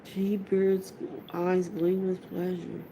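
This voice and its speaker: Female amateur audiobook reader, halting and unhurried, gravelly fry, slightly noisy recording. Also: lowish voice, flat delivery, warm tone. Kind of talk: amateur read-aloud of fantasy novel.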